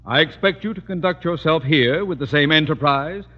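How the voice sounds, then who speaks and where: Actor as a pompous, stern principal, muffled old radio. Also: male voice, fairly high pitch, normal speed, long reverb tail, close to an everyday voice. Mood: stern, officious. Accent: American. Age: middle-aged. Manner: pompous, formal, clipped diction. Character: pompous, stern middle-aged school principal, easily exasperated. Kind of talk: 1950s radio comedy acting.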